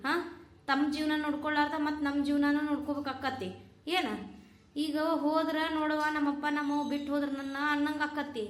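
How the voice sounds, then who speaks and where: A woman's voice: high voice, lightly acted. A woman playing a worried older mother who laments her troubles to herself, with a North Karnataka accent. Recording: roomy echo.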